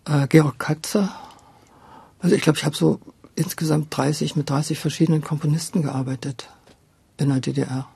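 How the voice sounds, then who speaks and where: Older woman with a creaky, husky voice. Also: low-pitched, steady tone, moderate pace, dark tone, no room sound. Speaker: former radio drama director. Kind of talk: reflective interview conversation.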